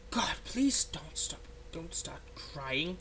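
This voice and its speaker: A performer playing a theatrical supervillain, menacing yet exasperated and softhearted, in a one-sided ASMR roleplay spoken straight to the listener. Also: mid-range voice, big pitch swings, takes it slowly, a little hiss or hum, exaggerated voice. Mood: uneasy, guilty. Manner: dramatic, swinging between threats and hushed soothing.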